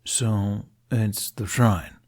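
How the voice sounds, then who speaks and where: A man voicing a wary, dry dragon companion in an audiobook, with drawn-out, deliberate delivery. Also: fairly low pitch, fairly even intonation, darkish tone. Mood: puzzled. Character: Toothless, wary slow-talking dragon companion, literal and dry.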